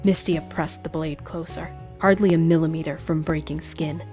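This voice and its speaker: A woman's voice: sits low, fairly even intonation, normal speed, darkish tone, faint music behind. A woman hosting her own show, moving between scripted story narration and chatty talk as an author. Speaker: host and author.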